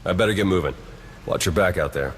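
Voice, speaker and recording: A man's voice: deep voice, fast, very noisy. A man playing a wry, pragmatic spy agent in a video game, casual and matter-of-fact. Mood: brisk, businesslike. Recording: loud game music underneath. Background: music.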